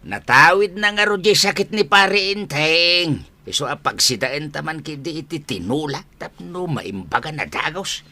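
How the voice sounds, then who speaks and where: A man's voice: a little high, lively intonation, normal speed, sharp tone, no room sound, exaggerated voice. A man playing an eager, helpful, comic villager in a radio comedy drama, with sputtering exclamations. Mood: defensive.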